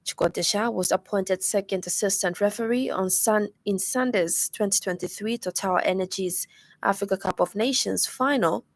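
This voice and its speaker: A woman's voice: medium pitch, normal speed, no echo. Zambian woman reading radio news, dry studio sound. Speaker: radio newsreader. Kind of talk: scripted news bulletin reading.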